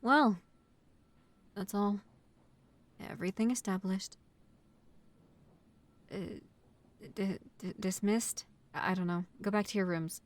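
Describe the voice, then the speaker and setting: Slow-spoken female vampire housemate, acted by a woman. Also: medium pitch, no echo, natural voice. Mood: awkward, unsure. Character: bossy but considerate young female vampire housemate. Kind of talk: roleplay audio acting, addressing the listener.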